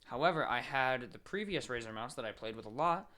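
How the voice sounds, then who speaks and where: Man, bright voice, animated pitch, podcast co-host, informal explanatory tech chat. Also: brisk.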